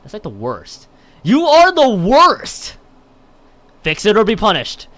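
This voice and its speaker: A man's voice: high-pitched, wildly swooping pitch, slow pace, a bit bright, no echo, voice pushed up, exaggerated voice. A man voicing a blunt, scornful young female pro gamer in a visual novel, snappy and dismissive.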